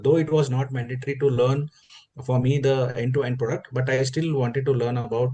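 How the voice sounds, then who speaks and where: A man asking a question in a webinar over a video call, hesitant and full of pauses and fillers. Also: medium pitch, steady tone, warm tone.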